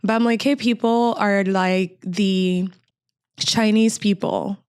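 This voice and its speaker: Cameroonian woman with a smooth, clear voice. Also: medium pitch, steady tone, slow, no room sound, clean studio sound. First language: French. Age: 30s. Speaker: podcast guest. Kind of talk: casual interview conversation.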